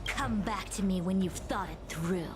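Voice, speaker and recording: An actress playing an imperious seer possessed by a spirit, coldly fatalistic and condescending, in dramatic video game style. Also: female voice, medium pitch, normal speed, music in the background, lightly acted. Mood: imperious lecturing. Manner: declamatory, condescending.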